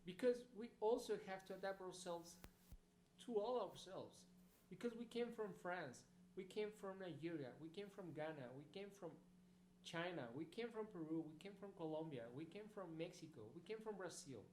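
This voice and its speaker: A man's voice: high voice, moderate pace, darkish tone. A male graduate giving a recorded congratulatory message, earnest and searching for words. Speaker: graduate sending a video message. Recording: echoey recording with faint hum, played in a hall.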